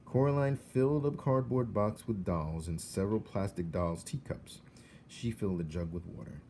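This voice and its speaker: A man reading a children's novel aloud as narrator, in an expressive storytelling style. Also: fairly low pitch, normal speed, slight background noise. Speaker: storybook reader.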